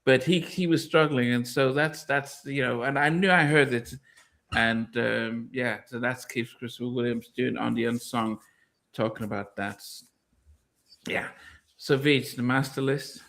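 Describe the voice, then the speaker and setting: A man's voice: mid-range voice, unhurried, fairly bright tone. Rambling male podcast host. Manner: rambling, many fillers and restarts, laughs easily. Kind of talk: casual podcast chat.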